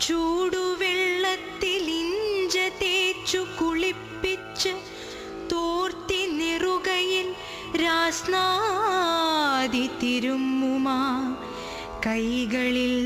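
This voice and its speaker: A woman's voice: very high voice, fairly even intonation, drawn-out words, pauses often, over music, full character voice. A woman performing as a wistful grown daughter, singing nostalgic verse in a radio drama. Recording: musical accompaniment behind voice.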